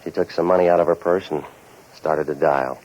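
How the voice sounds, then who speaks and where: A hard-boiled American private eye in a vintage radio drama. The voice sits low and delivers sardonic wisecracks in a terse deadpan. The old recording is muffled and dull. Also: male voice, fairly quick. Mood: wry narration. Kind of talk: 1940s radio noir acting and narration.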